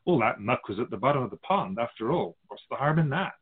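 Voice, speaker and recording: A man playing an otherworldly, wise spiritual guide in a radio drama, measured and instructive with gentle amusement. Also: mid-range voice, animated, breathy edge. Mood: wise, gently encouraging. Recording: slightly muffled, phone-like narrow sound.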